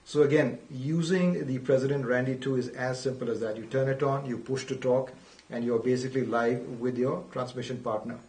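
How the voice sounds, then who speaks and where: A man's voice: medium pitch, fairly even intonation, a little fast, darkish tone. Man presenting in an echoey room. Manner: methodical, instructional, repeats key points. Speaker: product presenter. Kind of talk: unscripted product demonstration, step-by-step explaining. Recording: roomy echo, distant microphone.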